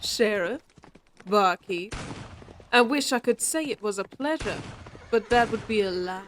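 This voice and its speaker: Woman playing a slow-drawling, taunting outlaw ringmistress. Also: medium pitch, a little hiss or hum, natural voice. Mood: smug farewell. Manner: theatrical, mock-polite, drawling threats. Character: taunting female outlaw circus ringmaster, coolly menacing. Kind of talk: Old West audio roleplay acting.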